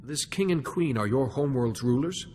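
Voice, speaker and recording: Man voicing a calm Jedi, reverberant. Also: medium pitch, a little hiss or hum, natural voice. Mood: firm. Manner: calm, measured. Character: calm, measured male Jedi, steady and wise. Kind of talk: video game cutscene acting. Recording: long reverb, music underneath.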